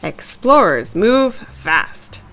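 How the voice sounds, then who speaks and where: A woman's voice: medium pitch, sing-song, extremely slow, fairly bright tone, faint music behind, full character voice. A woman voicing a bold, eager female mouse in a storybook, impatient and urging, with exclamations. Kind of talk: storybook character voice.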